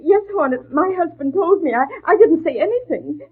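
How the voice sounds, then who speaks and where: High-voiced frightened housewife, tinny old radio. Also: female voice, animated, normal speed. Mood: nervous, pleading. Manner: stammering, flustered, breathless hesitation. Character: frightened, timid housewife hiding a secret. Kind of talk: 1940s radio acting. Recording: tinny, scratchy 1940s radio broadcast.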